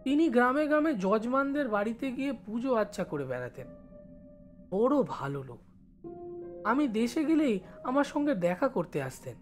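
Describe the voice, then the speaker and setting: A man playing a village schoolboy who studies in the city, telling his story in expressive first-person narration. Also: very high-pitched, moderate pace, pauses often, soft background music. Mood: reminiscing. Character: village schoolboy studying in Kolkata, recalling his life.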